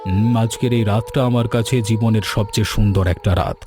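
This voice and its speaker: Over soft music, a man voices an older village shopkeeper for a cartoon. He is an earnest, sentimental father who speaks with open emotion. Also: on the low side, steady tone, brisk, lightly acted. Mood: moved, proud. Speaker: cartoon voice actor. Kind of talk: dramatized cartoon dialogue. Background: background music.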